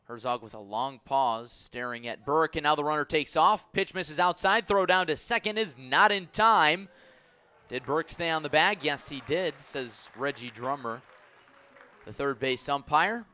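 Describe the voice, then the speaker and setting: A man's voice: fairly high pitch, expressive, unhurried, pushed and bright, clear, resonant tone, some chatter behind. A man doing live baseball play-by-play commentary for a radio broadcast.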